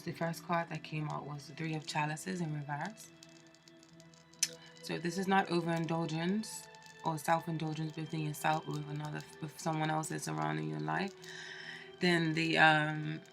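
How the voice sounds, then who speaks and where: A woman's voice: low-pitched, vocal fry, fairly bright tone. A woman with a cold, sounding stuffed up, giving a chatty tarot reading with plenty of fillers and restarts. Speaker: tarot reader. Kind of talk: casual tarot card reading.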